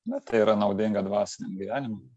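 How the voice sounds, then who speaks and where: A man interpreting a lecture phrase by phrase over a video call, rendering each line quickly and plainly. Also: on the low side, dark tone, no echo, dull audio. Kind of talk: consecutive translation of a lecture.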